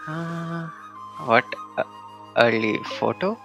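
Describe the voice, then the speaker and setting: A woman's voice: low voice, takes it slowly, a bit bright. A woman streaming a game with casual live commentary, muttering asides in reply to the dialogue. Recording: faint game music behind.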